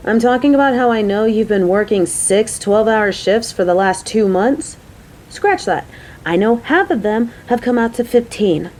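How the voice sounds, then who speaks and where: A woman's voice: on the high side, animated, moderate pace, darkish tone, close to an everyday voice, poor audio. A woman playing a caring, playful coworker on a date in an audio roleplay, talking to the listener in a motherly, teasing, chatty way. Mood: worried.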